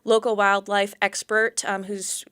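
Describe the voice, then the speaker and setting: Female radio guest, mid-pitched and crisp, chatting through community announcements. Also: flat delivery, a little slow, a bit bright, no echo. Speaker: library representative, radio guest. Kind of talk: conversational interview, announcing community events.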